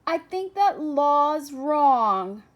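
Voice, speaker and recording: An earnest little girl from a storybook, acted by a woman reader. She speaks slowly in a high voice, hesitant yet brave, and means every word. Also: very animated, dull audio, full character voice. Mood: timid. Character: brave, earnest second-grade girl with a petition.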